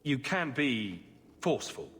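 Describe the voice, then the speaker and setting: A man's voice: medium pitch, sing-song, unhurried, fairly bright tone, slightly rough, long reverb tail, heavily acted. An actor voicing a wry, blunt male hero in a fantasy video game, speaking in a dry, knowing way. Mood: teasing.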